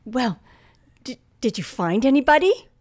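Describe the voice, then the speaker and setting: Frightened, slow-spoken radio thriller heroine. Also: female voice, sits high, sing-song, darkish tone, exaggerated voice. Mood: nervous. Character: nervous, frightened young woman driver, narrating her ordeal. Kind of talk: old-time radio thriller acting and first-person narration. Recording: vintage radio drama with music underneath.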